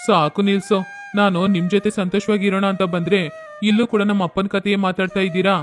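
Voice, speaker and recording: A man's voice: high voice, little pitch movement, quick pace, lightly acted. A man voicing a lazy young son who brushes things off in a breezy, dismissive way in a cartoon story, with faint music behind him. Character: lazy carefree young man, pleasure-loving.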